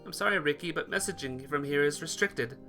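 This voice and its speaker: A man voicing a gentle, earnest young girl in a visual novel, tender and brave. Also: a little high, brisk, over music, lightly acted. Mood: soft, wistful.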